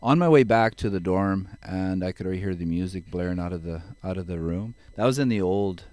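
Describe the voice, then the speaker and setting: Deep-voiced middle-aged man from Holland. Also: brisk, darkish tone, no room sound. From Netherlands. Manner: easygoing, chuckles while telling stories. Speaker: guest, genetics advisor. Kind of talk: relaxed interview storytelling.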